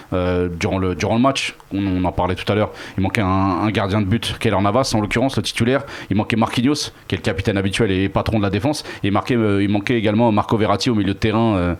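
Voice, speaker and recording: A male football pundit speaks in a fairly low voice. He talks quickly as he gives unscripted analysis in a panel discussion. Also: steady tone.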